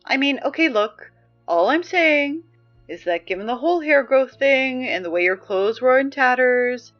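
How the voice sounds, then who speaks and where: Woman as a wry high school announcer, higher pitch, exasperated, conversational, pushy, audio drama, muffled recording, faint music behind. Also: expressive, normal speed. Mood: insistent, prying. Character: exasperated, chatty high school student announcer during an apocalypse.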